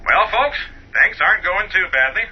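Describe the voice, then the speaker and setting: A man's voice: high-pitched, expressive, moderate pace. A man acting a folksy, matter-of-fact county sheriff in a radio drama interview, talking in colloquial phrases. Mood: folksy, unworried. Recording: muffled, noisy radio broadcast sound.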